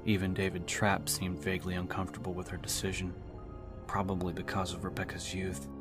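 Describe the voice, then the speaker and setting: A man's voice: deep voice, monotone, a little fast. A man reading scripted dramatic narration for an audiobook. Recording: music behind the voice.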